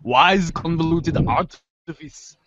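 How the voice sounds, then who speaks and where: A man's voice: on the high side, takes it slowly, a bit bright, no room sound. A man playing a sarcastic, brainy teenage girl in a comic readers theater, dry and wordy, on a dull lo-fi recording. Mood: wry, teasing. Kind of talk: readers theater of web comic chat logs. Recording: dull, lo-fi microphone sound.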